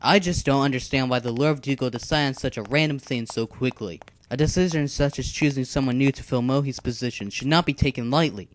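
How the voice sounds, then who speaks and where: A man playing a dutiful, level-headed assassin in an amateur animated fantasy series, speaking in a measured, formal, firm way. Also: mid-range voice, close to an everyday voice. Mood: doubtful. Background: music.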